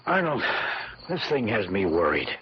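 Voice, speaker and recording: An actor playing a nervous young man, a worried friend on a lake trip, in an expressive, jittery old-time radio horror style. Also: fairly low pitch, normal speed. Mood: anxious. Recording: noisy, dull old radio recording.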